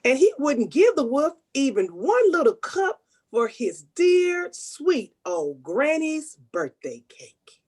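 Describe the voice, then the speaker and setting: A woman's voice: sits high, big pitch swings, slow, a little mellow. A woman narrating a scripted story in reader's theater style.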